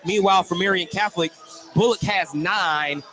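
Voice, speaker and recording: A man's voice: sits high, moderate pace, over music. A man doing live radio play-by-play commentary of a basketball game. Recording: live gym broadcast with background noise. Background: gym ambience, bouncing basketball.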